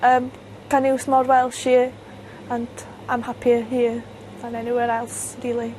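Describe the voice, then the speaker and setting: A young woman answering an interview in slow, simple sentences. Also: on the high side, completely flat delivery, darkish tone, a little hiss or hum, rough recording. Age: young adult.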